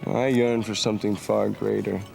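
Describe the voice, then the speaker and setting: A man's voice: lowish voice, little pitch movement, normal speed, gravelly, a little mellow. A man voicing a restless romantic young adventurer in a 1990s video game cutscene, talking in a reflective, wistful way, with faint music behind. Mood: yearning.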